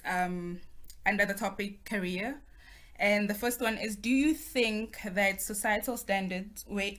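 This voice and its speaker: A woman in her twenties hosting a casual group discussion on a video call, explaining the plan step by step. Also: medium pitch. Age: 20s. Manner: friendly, organized, explains step by step. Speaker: host. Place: home room on video call. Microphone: laptop or phone on video call.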